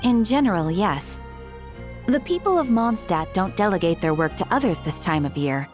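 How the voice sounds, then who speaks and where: A woman playing a composed young guild receptionist in a video game, speaking in a polite, measured way. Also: mid-range voice, expressive, darkish tone, soft background music, close to an everyday voice. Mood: composed, knowing.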